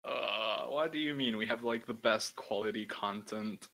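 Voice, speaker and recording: A young man with a deep voice and a bright, forceful edge, hosting a casual podcast. He rambles loosely, filling his talk with "like" and the occasional curse. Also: moderate pace, gravelly. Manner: rambling, peppered with "like" and swearing. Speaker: podcast host. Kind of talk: casual chat.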